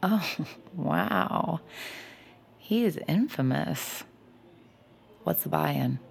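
A woman's voice: deep voice, animated, drawn-out words, vocal fry, heavily acted. An actress playing a sly, cocky young woman poker hustler who narrates her con in a wry, confiding way in an audio drama. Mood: playful. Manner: wry, confiding, self-assured. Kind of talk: first-person audio drama narration and dialogue.

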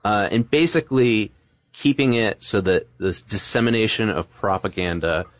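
A man with a low voice talks casually as a podcast co-host. He explains things conversationally, with little asides, in a dry, close recording. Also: normal speed, no echo.

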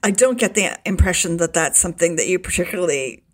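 A woman's voice: lowish voice, expressive, fast. A woman hosting a podcast, asking questions in a conversational book interview.

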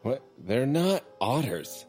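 A man's voice: medium pitch, very animated, takes it slowly, a little mellow, faint music behind. An actor playing a brash, sarcastic young male delinquent in a comic audio drama, with slangy, incredulous banter. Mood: playful banter. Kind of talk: comic audio fiction acting.

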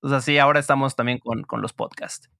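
A man's voice: mid-range voice, quick pace, vocal fry, no room sound, clean studio sound. A man with a Mexican accent chatting casually as a guest in an interview, slangy and full of fillers. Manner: relaxed, slangy, many fillers. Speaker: guest, co-creator of a Spanish-learning channel.

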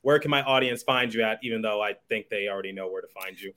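A man's voice: fairly low pitch, fast talker, bright, forceful tone. A man hosting a sports podcast, talking conversationally about hockey with his guest. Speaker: podcast host, sports journalist.

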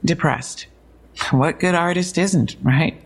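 A woman's voice: fairly low pitch, slow pace. An actress playing a matter-of-fact young female police detective in an audio drama, briefing conversationally with dry, wry asides.

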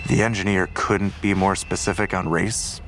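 An actor playing a seasoned, weary, skeptical police detective in an audio drama, terse and measured. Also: male voice, sits low, little pitch movement, a bit bright, a little hiss or hum. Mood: measured, businesslike.

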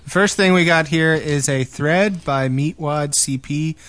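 A man's voice: a little high, measured pace, a bit bright. A man co-hosting a gaming podcast in a casual, conversational style. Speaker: podcast co-host.

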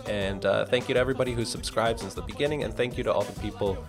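Podcast host: American male, very low register, informal and enthusiastic delivery. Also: very fast, music in the background. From suburbs of New York, US. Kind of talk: casual podcast intro and interview chat.

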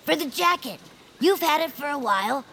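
Creaky, grandiose little inventor creature. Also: female voice, sits high, animated, normal speed, bright, forceful tone, a little hiss or hum, full character voice. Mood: matter-of-fact. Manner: theatrical, self-important, formal phrasing. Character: eccentric, excitable small inventor creature, grandiose and dramatic. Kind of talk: cartoonish audio fiction acting.

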